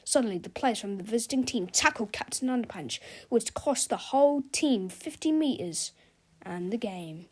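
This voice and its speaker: A woman's voice: on the high side, expressive, moderate pace. A woman narrating a children's storybook as a read-aloud.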